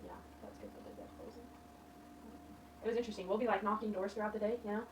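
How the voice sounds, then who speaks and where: A woman's voice: mid-range voice, steady tone, very fast. A young woman chatting casually with others. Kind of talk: casual chat. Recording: roomy, distant mic, noisy background.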